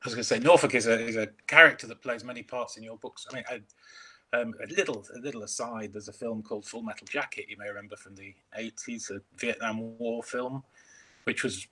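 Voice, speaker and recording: A man's voice: mid-range voice, steady tone, brisk, sharp tone, poor audio. A man chatting as a crime author over a video call, hesitant with many ums and restarts. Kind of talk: casual interview chat.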